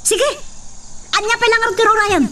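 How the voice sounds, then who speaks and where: A spirited young country daughter, played by a woman in a radio drama, very high in pitch. She speaks in indignant protest. The voice sits away from the microphone. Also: steady tone, normal speed, recorded from a distance, background noise. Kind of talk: Ilocano radio drama acting.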